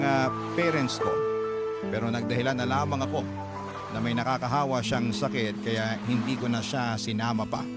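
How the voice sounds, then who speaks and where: A man's voice: mid-range voice, lightly acted. An actor with a Filipino accent playing an aggrieved young boyfriend, narrating his heartbreak earnestly in the first person for a radio drama. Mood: aggrieved, explaining.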